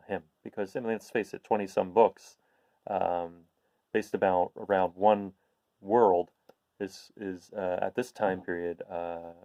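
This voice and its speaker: Low-voiced man, dry and chatty storyteller. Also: warm tone, dry recording. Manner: chatty, explanatory. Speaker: host of a read-aloud series. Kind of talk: casual introduction and expressive storybook reading for children.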